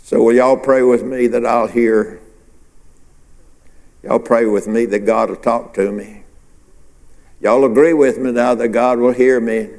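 A man's voice: medium pitch, steady tone, measured pace, pauses often, a little mellow, in a large hall. A male pastor preaching a sermon, asking the congregation questions and answering them himself. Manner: preacherly call-and-response, rhetorical questions.

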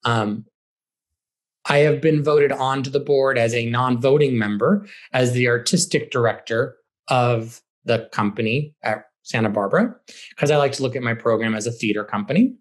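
A man's voice: mid-range voice, moderate pace. A man, a theater director, giving conversational explanatory answers as an interview guest. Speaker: high school theater director, podcast guest.

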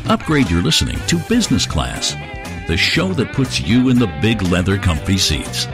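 A man reading a scripted show promo and disclaimer in a smooth, polished announcer style. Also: sits low, huge pitch swings, normal speed. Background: loud guitar music.

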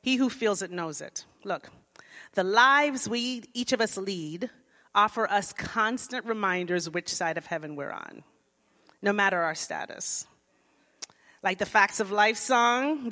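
A woman from Jamaica addresses a church congregation in a lively, direct way. Her voice is bright, and the long reverb of the sanctuary trails after each phrase. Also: medium pitch. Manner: lively, engages congregation, softens into prayer. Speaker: guest speaker. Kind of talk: church sermon talk. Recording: long reverb of a large hall.

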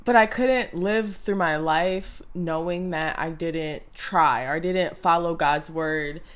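A young woman in her twenties, a podcast host, talking earnestly and reflectively about her own experiences. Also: lowish voice. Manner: earnest, reflective, with soft laughs. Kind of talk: personal monologue.

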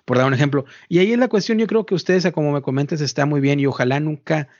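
A man's voice: fairly high pitch, fast, darkish tone, dry recording. Brief: male podcast host, chatty and questioning, dry muffled sound. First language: Spanish. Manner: chatty, asks questions, repeats words. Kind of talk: casual podcast conversation. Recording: dull, muffled dry audio.

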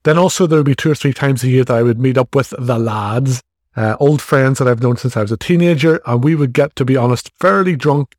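A man in his forties with an Irish accent talks through his podcast in a frank, easygoing and self-deprecating way. His voice sits at a middling pitch, recorded close on the mic in a dead, dry room. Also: brisk, no room sound. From Ireland. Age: 40s. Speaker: podcast host. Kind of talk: casual solo podcast monologue.

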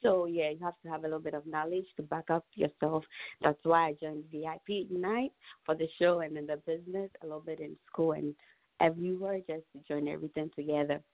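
Phone-line young Ghanaian woman, lowish voice. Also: steady tone, brisk, no room sound. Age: young adult. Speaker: podcast guest, aspiring actor and model. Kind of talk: casual interview chat. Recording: muffled phone-line sound.

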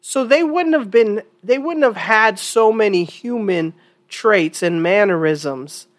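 A woman's voice: medium pitch, expressive, slow pace. A woman hosting her own opinion podcast in a casual, chatty monologue, sounding stuffy from a cold and repeating points for emphasis. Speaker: podcast host.

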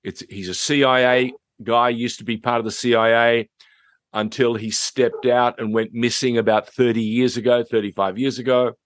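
A man's voice: mid-range voice, flat delivery, normal speed, dry recording. A middle-aged or older man, hosting a conversational review of TV series and repeating phrases for emphasis.

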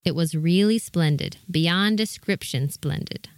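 A woman's voice: lowish voice, unhurried, no echo, studio quality. A woman reading scripted storybook narration as the narrator of a fairy tale.

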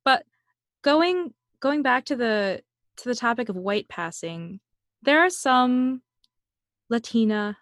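A woman's voice: fairly high pitch, animated, slow pace, long pauses, fairly bright tone, no echo, studio quality. A woman podcast host with a slight Filipino accent, chatty and prone to tangents in a casual interview. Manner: chatty, goes on tangents, laughs often.

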